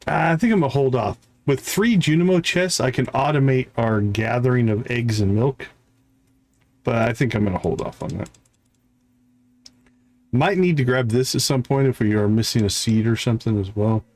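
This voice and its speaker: A man's voice: mid-range voice, normal speed, darkish tone. A man hosting a gaming livestream, thinking aloud in casual, chatty commentary as he plays.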